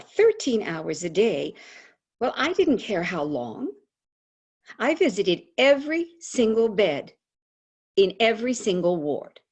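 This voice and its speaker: An actress plays a celebrated advice columnist, a frank and wryly sorrowful woman confiding in a stage monologue. Her delivery is slow, with dramatic pauses and a very lively pitch. The voice is husky. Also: lo-fi sound. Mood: solemn, deliberate. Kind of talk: theatrical monologue, staged reading. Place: indoors, remote video call. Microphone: video call microphone.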